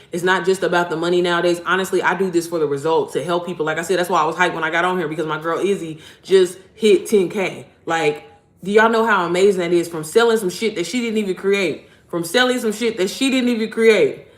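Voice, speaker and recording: A woman's voice: quick pace, roomy sound. A young woman, an online business coach giving a casual, blunt, profane motivational talk straight to her viewers. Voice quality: deep voice. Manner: blunt, profane, slangy, addresses viewers directly.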